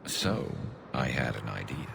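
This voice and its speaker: An actor playing a gruff, wry alien ex-cop soldier in a video game, with a gravelly voice and dry, laid-back wit. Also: male voice, extremely low pitch, animated, a little slow, noisy background, full character voice. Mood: wry, companionable.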